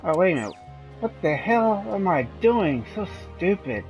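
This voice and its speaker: A man's voice: high voice, big pitch swings, normal speed, darkish tone, soft background music. A man giving casual, blunt gameplay commentary, talking as if to himself. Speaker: video game player.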